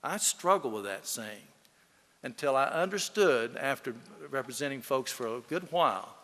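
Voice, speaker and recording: Man, bright forceful voice, folksy storyteller, eulogy speech, emphatic, long hall reverb. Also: mid-range voice, expressive, moderate pace. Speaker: eulogy speaker, fellow lawyer.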